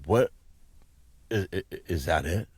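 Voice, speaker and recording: A man playing an exasperated support agent in a comedy phone sketch, sarcastic and grudging, with halting delivery. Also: low voice, expressive, a little mellow, slightly rough, no room sound, full character voice.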